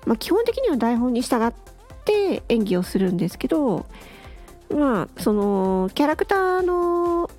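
Woman chatting off the cuff, kinda high voice, really up and down, close mic, soft music. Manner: musing, murmuring as if to herself. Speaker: solo audio show host and voice actor. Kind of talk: unscripted casual monologue. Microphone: close to the microphone.

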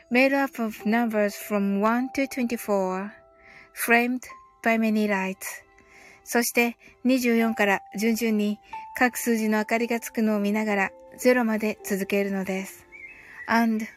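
A woman hosting a livestream, talking to listeners and leading mindfulness. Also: a little high. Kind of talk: casual livestream chat.

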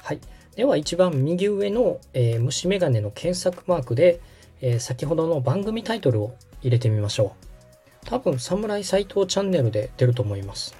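Husky, lively man giving patient instructions. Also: fairly high pitch, moderate pace, soft background music. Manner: patient, polite explaining. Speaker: host and radio director guiding a setup. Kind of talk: conversational step-by-step tutorial.

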